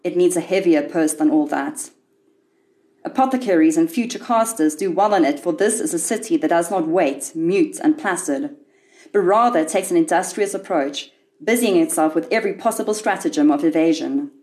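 A woman narrates scripted fiction in a voice a little lower than most women's. Her delivery is measured and literary, with a dry, wry edge to the storytelling. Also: a little mellow. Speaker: author reading own short story.

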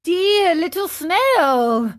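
A woman's voice: extremely high pitch, very animated, slow, pushed and bright, voice pushed up, heavily acted. A woman voicing a pleading, hot-tempered wooden marionette boy in a children's storybook. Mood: desperate pleading. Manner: pleading, exclamatory.

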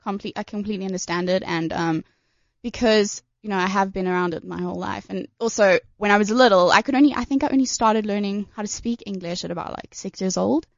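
An eighteen-year-old woman with a slightly raspy voice, chatting as a guest in a radio studio, giggly and self-deprecating. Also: medium pitch, quick pace, no room sound. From South Africa, of Portuguese family. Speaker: guest singer. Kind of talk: casual radio chat. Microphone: studio microphone. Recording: dull, dry studio sound.

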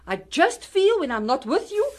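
Woman playing a harried Cape Town mom, high voice. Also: sing-song, moderate pace. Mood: stern, exasperated. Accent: South African, Cape Town. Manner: exasperated, fussing. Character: harried, strict, fretful middle-aged mother. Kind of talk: amateur radio drama acting.

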